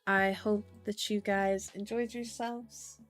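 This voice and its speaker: A woman's voice: mid-range voice, little pitch movement, slow pace, soft background music. A woman hosting a casual livestream, chatting and musing aloud as she reads out cards, often drawing out her words. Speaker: livestream host reading tarot and horoscopes. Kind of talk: casual livestream chat mixed with reading aloud.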